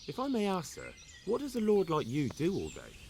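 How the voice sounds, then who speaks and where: An actor playing a humble, earnest young blacksmith's son with a deferential manner in a video game cutscene. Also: male voice, sits high, wildly swooping pitch, fairly quick, creaky, darkish tone, slight background noise, heavily acted. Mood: curious, polite.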